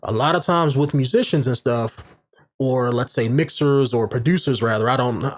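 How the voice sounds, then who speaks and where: A man with a warm, medium-range voice hosts a podcast alone, talking casually into a studio condenser microphone. His delivery is loose and rambling, with plenty of fillers and restarted sentences. His pitch sits in the ordinary middle range for a man. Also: moderate pace. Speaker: podcast host. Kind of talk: casual explanatory monologue. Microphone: large studio condenser microphone.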